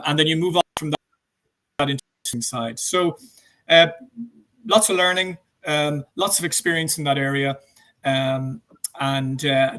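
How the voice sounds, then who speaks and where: A man's voice: on the high side, bright, forceful tone, low-quality recording. An older man with an Irish accent, speaking as a guest in a casual interview with hesitant, meandering phrasing. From Ireland. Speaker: interview guest, banking executive.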